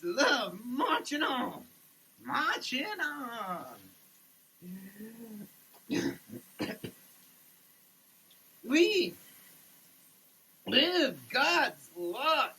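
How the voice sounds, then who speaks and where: A performer giving a halting, theatrical, declamatory dramatic reading in a running shower. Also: high-pitched, gravelly, bright, forceful tone, low-quality recording. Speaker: solo sketch performer. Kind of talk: dramatic reading of a product label. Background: running water.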